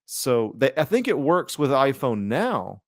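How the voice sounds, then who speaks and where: A man's voice: medium pitch, sing-song, measured pace, no echo, clean studio sound. A man co-hosting a podcast in casual chat, with a slangy, sweary, conversational way of talking. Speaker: podcast co-host.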